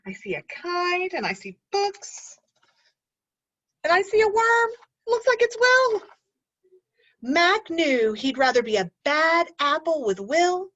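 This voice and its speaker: A lively woman teacher reads a storybook aloud to young children, her voice swooping up and down as she asks them questions. Her voice sits fairly high. The recording sounds dull and lo-fi. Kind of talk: reading a picture book aloud to children.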